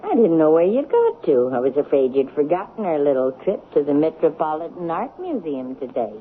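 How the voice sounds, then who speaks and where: Prim elderly lady, low-pitched, tinny radio. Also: sing-song. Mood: genteel, kindly. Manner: proper, moralizing, deliberate. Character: prim, pious elderly female cousin, gentle but unbending. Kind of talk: 1970s radio drama acting. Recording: tinny, noisy old radio broadcast.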